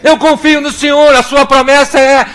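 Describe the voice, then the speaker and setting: High, bright-voiced Brazilian pastor. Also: male voice, moderate pace. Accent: Brazilian Portuguese. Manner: animated, acts out little scenes and prayers with voices. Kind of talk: sermon.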